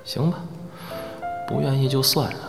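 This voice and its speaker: A man's voice: medium pitch, fairly even intonation, moderate pace, vocal fry, over loud music, natural voice. A man playing a teasing, cocky, easygoing film actor in an audio drama, with a playful drawl. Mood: wry, smug. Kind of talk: Chinese audio drama acting.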